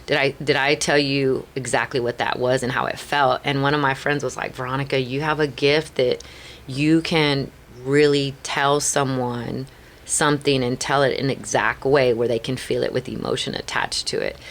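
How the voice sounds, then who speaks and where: This is a Chicana woman in middle age, speaking with a Chicana accent as a guest on an interview show. Her voice carries a clear gravelly fry. She tells candid stories earnestly and leans on "like" and "right?" as she goes. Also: very deep voice, steady tone, moderate pace. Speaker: podcast guest.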